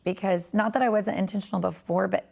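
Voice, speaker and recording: Raspy, gravelly-voiced woman in her forties. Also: medium pitch, fairly even intonation, fast talker, darkish tone. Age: 40s. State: raspy voice from tiredness. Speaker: podcast guest. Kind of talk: faith podcast conversation.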